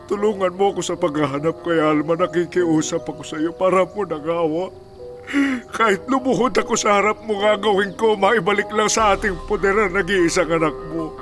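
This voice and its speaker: A man's voice: high voice, brisk, music in the background, natural voice. A man playing an anguished old grandfather in a Filipino radio drama, imploring and emotional.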